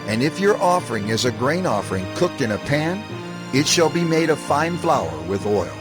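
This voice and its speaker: A man narrating scripture as a scripted audio drama, solemn and measured, with deliberate emphasis. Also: mid-range voice. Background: music.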